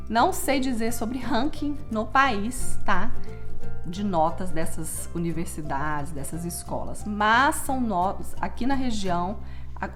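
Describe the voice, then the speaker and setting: A young Brazilian woman with a Brazilian Portuguese accent, chatting casually in a Q&A vlog and tagging her sentences with little checks to the viewer. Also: mid-range voice. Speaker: vlogger living in Texas.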